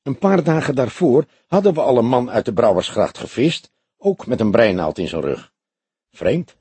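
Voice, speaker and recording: Audiobook role: male reader as older police detective, very husky, grave, deliberate, dry wit, close dry sound. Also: medium pitch, sing-song, darkish tone, dry recording. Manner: deliberate, explanatory, dryly humorous. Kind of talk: audiobook character dialogue.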